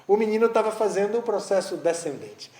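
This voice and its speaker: A man's voice: sits high, expressive, brisk, a little mellow. A man giving a conversational devotional talk, telling a story with frequent restarts. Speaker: spiritual teacher giving a talk. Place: eco-village gathering, roomy space. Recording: roomy echo.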